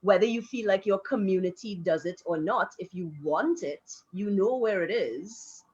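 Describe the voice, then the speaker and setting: A woman, speaking as a panelist in a live discussion in a frank, reflective, measured way. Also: medium pitch, darkish tone, slightly rough. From Trinidad and Tobago. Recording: dull lo-fi audio.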